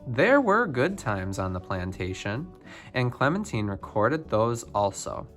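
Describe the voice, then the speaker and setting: A man reading a children's picture book biography aloud, phrase by phrase with expressive emphasis. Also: mid-range voice, unhurried, soft background music. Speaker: storybook reader.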